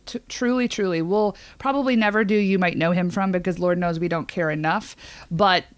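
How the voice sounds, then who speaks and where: A woman with an American accent and a slightly low voice, recorded close and dry. She sounds like a podcast co-host in casual conversation, emphatic and full of opinions, with plenty of slang. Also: a little fast, smooth, no room sound. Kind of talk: casual pop-culture chat.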